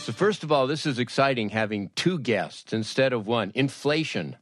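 A man's voice: medium pitch, expressive, normal speed, faint music behind. A man hosting a podcast interview in a casual, conversational style.

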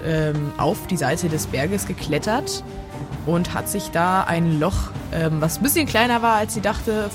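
A woman casually improvising her character's actions as a player in a tabletop role-play. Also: lowish voice. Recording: music behind the voice. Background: background music.

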